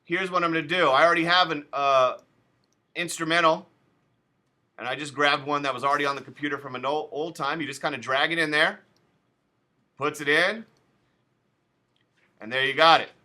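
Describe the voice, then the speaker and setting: Bright-voiced man teaching a class. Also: fairly high pitch, fairly even intonation, normal speed, long pauses. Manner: chatty, loose asides, talks students through each step. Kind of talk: casual classroom software tutorial.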